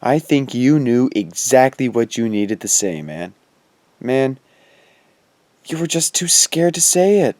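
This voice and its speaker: A man's voice: mid-range voice, expressive, slow pace, a little mellow, no echo. An actor playing a wry, teasing teenage boy ghost in an audio drama, with slangy, needling delivery. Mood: skeptical teasing. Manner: slangy, laid-back, needling.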